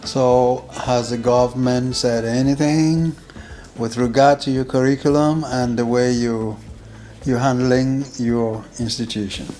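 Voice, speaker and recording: A man hosting an interview, asking questions in careful, measured phrasing. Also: mid-range voice, fairly even intonation, dark tone. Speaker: interview host. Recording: faint background music.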